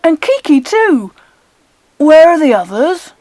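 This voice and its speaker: Excitable English child, high and animated. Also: female voice, slow, no echo, full character voice, low-quality recording. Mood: surprised. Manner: emphatic, exclamatory. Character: excitable adventurous British schoolboys and girl on a treasure hunt. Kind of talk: dramatised children's audiobook acting.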